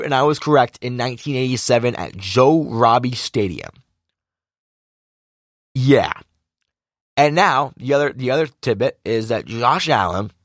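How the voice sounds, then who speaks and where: A young man with a slightly raspy voice, casually recapping a football game as a podcast host, rambling and correcting himself like an excited fan. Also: medium pitch, no echo, studio quality. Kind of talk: casual sports recap monologue.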